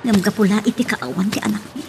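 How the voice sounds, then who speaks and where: A woman playing a scheming, embittered wife in a radio drama, dramatic and expressive, with a Filipino accent. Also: mid-range voice, quick pace, far from the mic, over music, close to an everyday voice. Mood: brooding, bitter. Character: scheming, embittered wife in a failing childless marriage.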